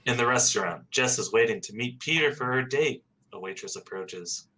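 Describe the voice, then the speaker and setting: A man's voice: medium pitch, expressive, moderate pace, pushed and bright, a little hiss or hum, low-quality recording. A man reading stage directions aloud in a plain, matter-of-fact way.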